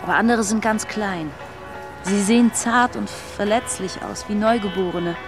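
An actress plays a skeptical Mexican immigrant mother who works in fast food. She speaks earnestly in a medium-pitched voice, often sounding puzzled. It is naturalistic radio drama with music under an outdoor scene. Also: close to an everyday voice.

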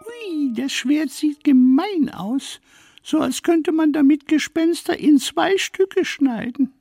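A woman's voice: high-pitched, lively intonation, slow, mellow, soft background music, full character voice. A woman playing a cheeky, droll young ghost in a children's radio play, chatty and wry. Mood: awed.

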